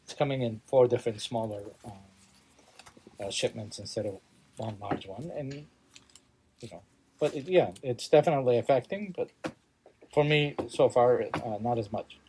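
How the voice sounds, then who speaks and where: A man speaks casually as an interviewed store owner. His voice is relaxed and a little soft-edged, at a middle pitch. He talks in a halting way, repeating words as he looks for the next one. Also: slight background noise, rough recording. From Southern California, United States.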